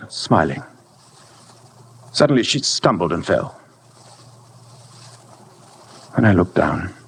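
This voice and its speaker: Deep, gravelly, guilt-haunted husband. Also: male voice, full character voice. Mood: haunted, grim. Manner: grave, halting, dramatic delivery. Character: anguished husband confessing a killing, brooding and guilt-ridden. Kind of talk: 1950s radio drama acting. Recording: noisy vintage radio broadcast recording.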